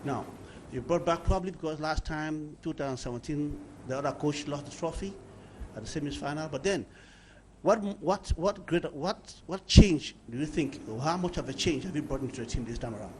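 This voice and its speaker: A man with a Cameroonian accent, a journalist putting long, halting questions at a press conference. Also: on the high side, a little mellow, a little hiss or hum.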